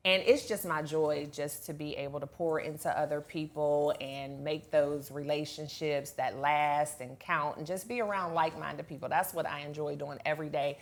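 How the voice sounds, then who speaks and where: A woman's voice: low voice, fairly even intonation, moderate pace, hardly any pauses. A woman hosting a women's panel discussion in a conversational way, speaking to the group.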